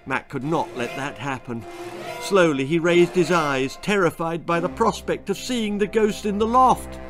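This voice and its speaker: Slow, suspenseful male storyteller. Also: on the high side, over music. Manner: dramatic, suspenseful delivery. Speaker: author reading his own story. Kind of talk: scripted children's horror-comedy storytelling.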